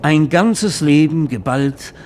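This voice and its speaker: Ein Schauspieler spielt in einem deutschen Hörspiel einen alternden, trinkenden Drehbuchautor, der schwärmerisch und pathetisch erzählt. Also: male voice, fairly high pitch, animated, slow, a little mellow, a little hiss or hum. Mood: rapturous, dreamy. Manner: theatrical, rhapsodic, savoring his words. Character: drunken, aging screenwriter, dreamy and grandiose storyteller. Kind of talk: German radio drama acting.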